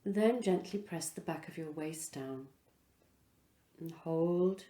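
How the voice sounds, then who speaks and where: Woman, yoga instructor, low mellow voice, soothing guided relaxation, pauses between phrases. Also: echoey room.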